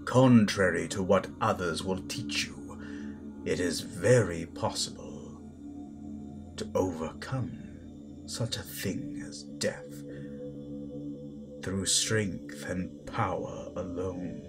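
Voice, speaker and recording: A man playing a stern, menacing Sith master who instructs his apprentice in a deliberate, grandiose manner. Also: deep voice. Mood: grave, instructive. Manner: deliberate, grandiose, pausing for weight. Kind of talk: dramatic audio roleplay monologue. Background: loud background music.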